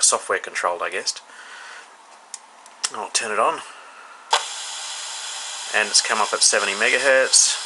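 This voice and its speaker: Australian man, very bright forward voice, casual reviewer, halting delivery, thinking aloud, faint background noise. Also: fairly low pitch, low-quality recording. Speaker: product reviewer. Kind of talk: casual unscripted hands-on demonstration.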